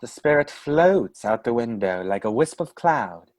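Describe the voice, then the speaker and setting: A man's voice: mid-range voice, sing-song, measured pace, a little mellow, no room sound. A man narrating a radio play, with indignant, exasperated asides.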